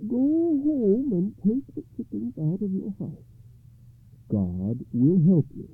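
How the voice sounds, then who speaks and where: A performer voicing a grave, gentle village rabbi in a storybook folktale, speaking in a measured, solemn manner. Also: mid-range voice, huge pitch swings, slightly rough, dry recording, narrow, tinny audio, soft background music, voice pushed up, exaggerated voice. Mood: serene, reassuring.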